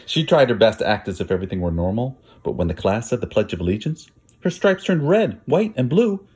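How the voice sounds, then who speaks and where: Highly animated man reading a storybook. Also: a little fast, breathy edge. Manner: dramatic, emphatic phrasing. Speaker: storybook reader. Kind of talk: expressive children's story narration.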